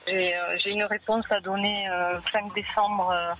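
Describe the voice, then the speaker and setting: A woman's voice: mid-range voice, little pitch movement, measured pace. A woman of around sixty from the Toulouse region, with a light southern French accent, calling in by phone and earnestly explaining a work problem. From Toulouse region, France. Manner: earnest, hesitant, explaining a grievance. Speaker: caller. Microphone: phone line. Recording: dull phone line with faint hiss.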